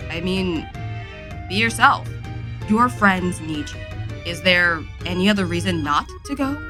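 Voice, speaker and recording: Actress as knowing mystical guide, lowish bright voice, expressive, firm, solemn, audio drama. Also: female voice, over music. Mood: firm encouragement. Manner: measured, firm, earnest.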